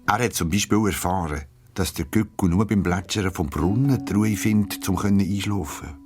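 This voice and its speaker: A man's voice: low-pitched, moderate pace. A man with a Swiss German accent narrating a scripted story for children. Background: music, plucked guitar.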